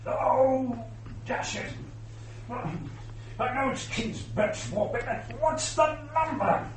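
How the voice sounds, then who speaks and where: An actor playing a cantankerous, imperious English lord in a radio drama, pompous, sputtering and dismissive. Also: male voice, extremely high pitch, lively intonation, drawn-out words, a little hiss or hum, exaggerated voice, rough recording. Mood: fussy, distracted.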